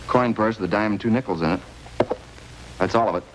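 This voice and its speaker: A man's voice: lowish voice, brisk, background noise, lightly acted. An actor playing a methodical male police lab technician in a 1950s radio crime drama, talking plainly through the evidence. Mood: matter-of-fact.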